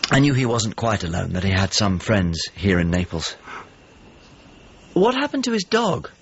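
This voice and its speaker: An actor playing a worried, loyal friend and weary traveller in a period radio drama, speaking haltingly and thoughtfully. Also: male voice, fairly low pitch, sing-song. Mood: troubled, searching. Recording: dull lo-fi audio with clear noise.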